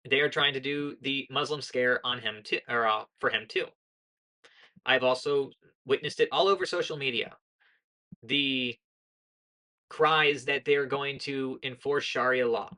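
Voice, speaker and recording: A young American woman giving casual political commentary in a monotone voice, earnest and lecturing, often correcting herself mid-sentence. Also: extremely low pitch, a little slow, low-quality recording. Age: young adult.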